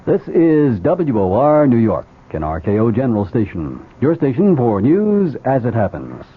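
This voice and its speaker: A man's voice: medium pitch, huge pitch swings, moderate pace, husky. A man reading a scripted station announcement as a radio announcer. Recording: tinny old radio broadcast.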